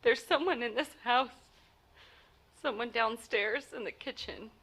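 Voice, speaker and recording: A woman's voice: fairly high pitch, unhurried, fairly bright tone, husky, low-quality recording. A woman acting a nervous, demanding invalid wife in a 1940s radio play, stammering with urgency. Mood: hushed, frightened. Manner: stammering, breathless urgency, haughty insistence.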